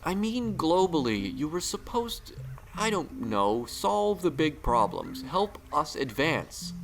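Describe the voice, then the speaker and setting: Man as a slow, halting, frustrated scientist. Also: fairly high pitch, very animated, vocal fry, noisy background, full character voice. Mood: halting, disappointed. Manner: halting, pleading, exasperated. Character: earnest, frustrated scientist pleading with his creation. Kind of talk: dramatized science fiction audio story. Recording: music behind the voice.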